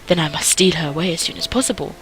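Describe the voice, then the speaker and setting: A woman voicing a fond, courtly nobleman with a soft, coaxing, formal manner. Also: lowish voice, fast talker, lightly acted, low-quality recording. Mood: polite, intent.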